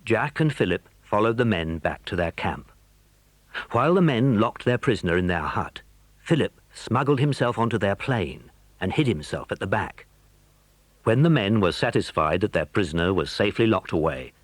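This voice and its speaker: A man's voice: fairly low pitch, very animated, normal speed, no echo. A man narrating a children's adventure story from a script.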